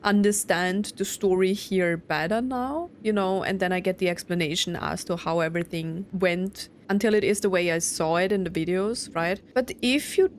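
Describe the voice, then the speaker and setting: A woman's voice: fairly low pitch, moderate pace, few pauses, a little hiss or hum. A woman giving casual gameplay commentary, thinking aloud and reacting chattily as she plays. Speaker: game streamer.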